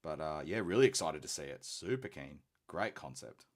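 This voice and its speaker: A very deep-voiced man from Australia with an unusually posh accent hosts a live hobby stream. He talks in a relaxed, chatty way as he paints. He is quick to poke fun at himself. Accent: posh-sounding Australian. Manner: relaxed, chatty, self-deprecating. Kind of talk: casual live-stream chat while painting.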